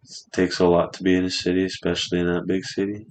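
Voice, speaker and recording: A man's voice: low voice, monotone, quick pace, a little hiss or hum. A man in his twenties chatting casually on a podcast in a studio, rambling and swearing freely. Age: 20s.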